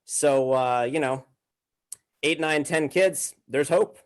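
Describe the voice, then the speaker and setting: A man talking casually as a podcast guest, halting and hedging, with dry humor. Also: fairly high pitch.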